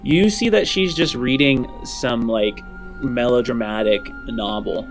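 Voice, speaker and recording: A man's voice: mid-range voice, measured pace. A man running a tabletop roleplaying game as game master, narrating scenes in descriptive storytelling. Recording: music under the voice.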